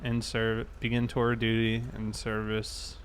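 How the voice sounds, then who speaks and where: A man's voice: on the low side, monotone, unhurried, a little hiss or hum. A man hosting a casual game livestream, thinking aloud and talking informally to his viewers. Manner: informal, thinking aloud while reading chat. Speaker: live streamer, host.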